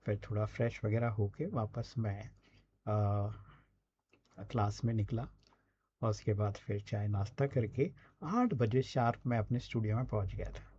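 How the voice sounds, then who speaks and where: A man's voice: on the low side, a little slow, mellow, muffled recording, a little hiss or hum. A man with an Indian accent giving a conversational, instructive lesson in a presenter's role, often adding short check-in tags. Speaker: radio presenter giving a speaking and writing lesson. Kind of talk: conversational teaching talk.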